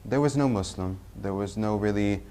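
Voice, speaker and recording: A young man from Tennessee, telling his personal story in a halting, unhurried way with many restarts. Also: lowish voice, dark tone. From Tennessee, USA. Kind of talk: personal testimony talk.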